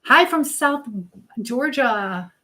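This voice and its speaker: A woman's voice: high voice, lively intonation, very slow, fairly bright tone. American lady live streaming from her kitchen, chatty, reading comments and answering people while she cooks. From United States. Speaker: livestream host. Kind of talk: casual live chat with viewers while cooking. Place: home kitchen.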